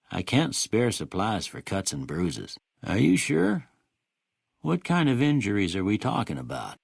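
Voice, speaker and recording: An actor playing a kindly, world-weary old small-town doctor in a video game, with a husky voice and a folksy, rustic American drawl. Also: male voice, lowish voice, no echo, studio quality, heavily acted. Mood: concerned, helpful. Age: older. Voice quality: husky, gravelly. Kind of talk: video game character dialogue.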